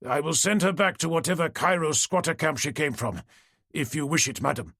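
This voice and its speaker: Brief: man doing a burly, pompous chef, stern, a little lifted in pitch. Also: lively intonation, a little fast, pushed and bright, no room sound, clean studio sound, heavily acted. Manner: stern, pompous, obsequious to guests. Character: burly officious male hotel chef.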